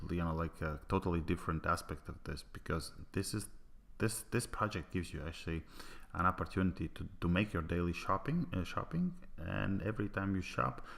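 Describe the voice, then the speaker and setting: A man's voice: low-pitched, vocal fry, a bit bright. A middle-aged man whose native language is Latvian, speaking English with a Latvian accent, giving an online business presentation, hesitant with many fillers and restarts.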